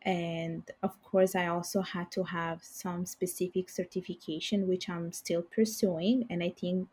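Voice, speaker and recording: Husky-voiced woman, Bengali and Italian native. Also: lowish voice, level tone, a little slow, darkish tone. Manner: earnest, explains at length with frequent "like". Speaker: podcast guest. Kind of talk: casual interview answers.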